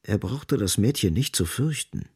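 An actor as the grave, ironic narrator of a literary radio play, deliberate and insistent, with a husky, creaky voice. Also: male voice, lowish voice, lively intonation, a little mellow, dry recording, clean studio sound, full character voice. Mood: grave, brooding.

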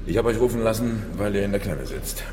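A man's voice: on the low side, lively intonation, quick pace, natural voice. An actor playing a manipulative, scornful male ringleader of political comrades in a radio crime drama. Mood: menacing, reproachful. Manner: commanding, scornful, rhetorical. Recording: echoey hall, long reverb, background noise.

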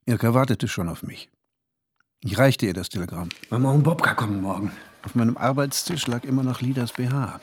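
A man's voice: medium pitch, husky, natural voice. An actor playing an anxious, introspective married man who tells his own story in a radio drama. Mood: sober, resigned. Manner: introspective, switches from measured narration to agitated pleading. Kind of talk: radio drama narration and dialogue.

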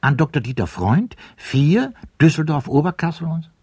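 An actor playing an announcer of letters in a radio play, reciting whimsically in a husky, mellow voice. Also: male voice, fairly high pitch, big pitch swings, moderate pace, dry recording, full character voice. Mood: wry, formal. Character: male announcer of letters and their addresses.

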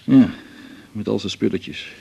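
An actor with a Netherlands Dutch accent playing a weary, dry-humoured family doctor in a radio drama, measured, dryly ironic and at times hesitant. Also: male voice, fairly low pitch, gravelly, dark tone, breathy edge, heavily acted. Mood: subdued, confiding.

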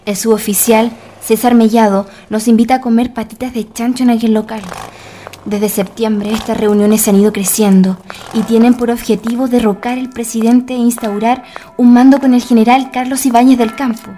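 An actress playing a secretive officer's wife in a historical radio drama, earnest, measured and solemn. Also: female voice, on the high side, level tone, dark tone, slight background noise, close to an everyday voice. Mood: earnest, confiding.

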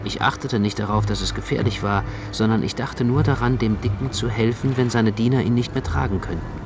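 A man with a fairly low voice reads literary narration for a radio play. His storytelling is measured and precise. Music plays clearly behind him.

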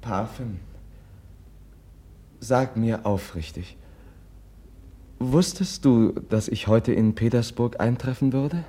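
An actor in a 1950s radio play voicing a gentle, earnest young prince who asks sincere questions. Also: male voice, mid-range voice, slow, pauses often. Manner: soft, sincere, searching questions. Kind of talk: 1950s radio drama acting. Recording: old radio play, husky tone.

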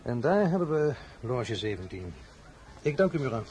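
An actor playing an imperious, slightly awkward emperor in a radio drama, formal and commanding with hesitations. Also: male voice, medium pitch, sing-song, moderate pace, darkish tone, faint music behind, natural voice.

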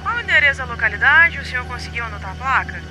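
A woman playing a brisk, formulaic Brazilian emergency hotline operator in an audio drama. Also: sits high, sing-song, bright, forceful tone, heavily acted. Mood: businesslike.

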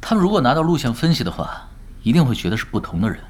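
Man acting a laid-back, animated young pro gamer. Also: medium pitch, rapid-fire, a little hiss or hum, close to an everyday voice. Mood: drily cocky. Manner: laid-back, nonchalant, drily cocky. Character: unflappable, teasing young male pro gamer. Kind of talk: Chinese audio drama acting.

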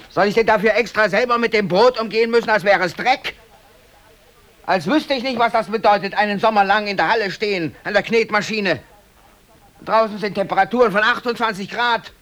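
A man's voice: sits high, moderate pace, a bit bright. An actor playing a brooding, aggrieved bakery worker in his thirties, telling his story colloquially and intensely in a radio drama. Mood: agitated indignation. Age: 30s. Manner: colloquial, emotionally intense, self-justifying. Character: brooding, aggrieved East German bakery worker under arrest. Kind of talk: radio drama monologue, confessional narration.

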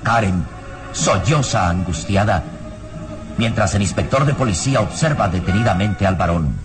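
An actor as the solemn, suspenseful narrator of an old radio serial, delivering scripted dramatic narration. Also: male voice, on the low side, expressive, moderate pace, lightly acted. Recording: old radio serial over loud music.

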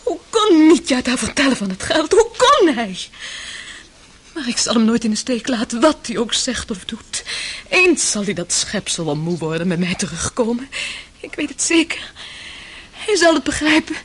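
A woman's voice: fairly high pitch, sing-song, normal speed, breathy edge. A woman playing a proud, impulsive young noblewoman in a radio play, effusive and self-dramatizing. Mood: distraught.

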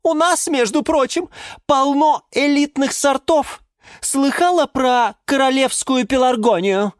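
Woman voicing a shrill, excitable female geranium. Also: measured pace, no room sound, studio quality. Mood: furious. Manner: shrill, indignant exclamations. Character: excitable, quarrelsome female geranium dreaming of modelling. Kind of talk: children's story character voice.

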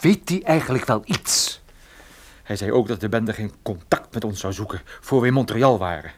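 Actor as hardboiled private eye, shaken, bitterly self-mocking, very animated pitch, radio drama. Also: male voice, normal speed, lightly acted. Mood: agitated, bitter. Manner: sardonic, self-deprecating. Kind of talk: Dutch radio play acting.

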